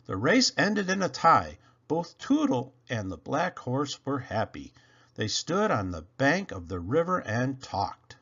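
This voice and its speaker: A man's voice: mid-range voice, huge pitch swings, measured pace, fairly bright tone, slightly rough, highs cut off. A man reading a children's storybook aloud as narrator, emphatic and drawing out key words. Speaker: storybook reader.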